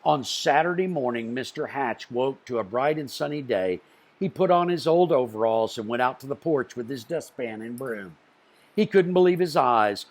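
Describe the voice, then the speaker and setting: A man reading a picture book aloud to children, expressively, with deliberate phrasing and stressed story beats. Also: mid-range voice, animated.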